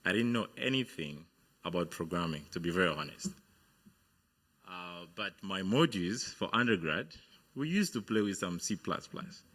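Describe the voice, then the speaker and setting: Man from Uganda, deep voice, sharp tone, halting on-stage speech full of ums. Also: lively intonation. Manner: hesitant, many fillers and restarts. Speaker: guest speaker, business founder. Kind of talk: motivational speech. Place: on stage at a university event.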